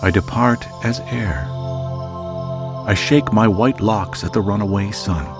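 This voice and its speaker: Deep-voiced man declaiming poetry over music. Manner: declamatory, with long pauses between phrases. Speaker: voice artist reading poetry. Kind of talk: dramatic poetry reading. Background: music and cricket soundscape.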